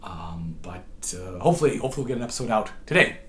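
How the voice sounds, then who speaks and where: A hoarse man with a cold, patiently explaining how to play a tune as a harp teacher, his voice sometimes dropping to a whisper. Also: lowish voice, animated, fast talker, fairly bright tone, a little hiss or hum. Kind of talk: instructional tutorial.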